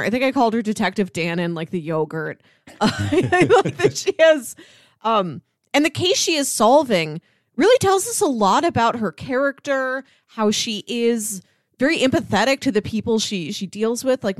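Woman chatting on a tv review podcast, medium pitch, no room echo at all. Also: lively intonation, normal speed, clean studio sound. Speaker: podcast co-host. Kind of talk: casual TV review discussion.

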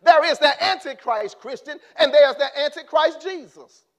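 High-voiced impassioned male preacher. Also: moderate pace. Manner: impassioned, rising to shouts then dropping low. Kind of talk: sermon.

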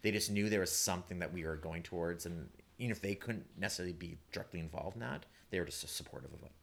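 A man's voice: low voice, very fast, vocal fry, a bit bright. A man with a Canadian accent telling personal stories casually as an interview guest, rambling a little, with self-deprecating humour. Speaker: playwright guest.

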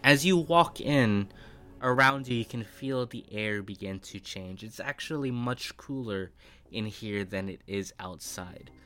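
A man narrating a tabletop game as game master, improvising descriptions in measured, halting phrases. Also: fairly low pitch, sharp tone. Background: faint background music.

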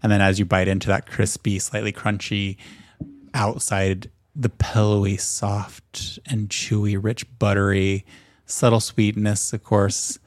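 A man's voice: lowish voice, little pitch movement, a little slow, no room sound, clean studio sound. A man running a tabletop game as game master, narrating in lush, lingering sensory detail.

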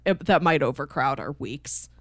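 A woman's voice: low-pitched, expressive, normal speed, dry recording. Woman co-hosting a podcast, chatty and informal, really dry sound with no room on it. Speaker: podcast co-host. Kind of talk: casual podcast chat.

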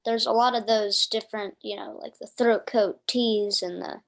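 A woman's voice: mid-range voice, fairly even intonation, moderate pace, vocal fry. A woman interviewing a singer in a casual conversation, asking questions and giving frequent short affirmations. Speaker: interviewer, musician.

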